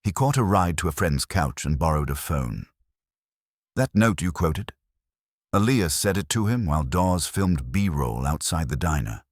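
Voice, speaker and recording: A man voicing a steady, knowing detective's operative who reports facts laconically in a mystery story. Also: a bass voice, unhurried, no echo, clean studio sound, exaggerated voice. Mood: assured.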